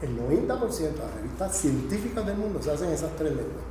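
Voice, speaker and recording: A man's voice: a little high, big pitch swings, quick pace. A man giving an improvised academic lecture, emphatic and didactic, checking in with short tag questions. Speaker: lecturer teaching a class. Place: indoor lecture room. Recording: very echoey room, faint noise.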